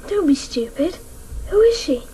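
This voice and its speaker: A woman's voice: very high-pitched, big pitch swings, normal speed, warm tone. A woman playing an earnest, guarded schoolchild in a radio drama, speaking tersely. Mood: guarded, curious. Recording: very noisy old radio recording.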